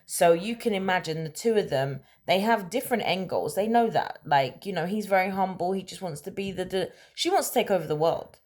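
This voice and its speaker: A woman telling a story in a casual, conversational way, animated and emphatic, often checking in with rhetorical questions. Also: on the low side, brisk, hardly any pauses. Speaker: storyteller, host of a story-time series.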